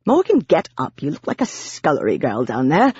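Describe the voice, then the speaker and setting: A woman's voice: low-pitched, huge pitch swings, moderate pace, darkish tone, husky, no room sound, dull audio, exaggerated voice. A woman voicing a refined, sarcastic male demon in an audiobook, with a haughty British accent and drawling scorn. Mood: haughty disdain.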